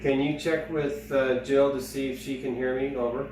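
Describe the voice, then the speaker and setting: A man's voice: medium pitch, little pitch movement, normal speed. A man setting up antennas and coaching others in radio procedure in a practical, instructive way. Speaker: radio setup helper. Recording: echoey room, distant mic, light noise.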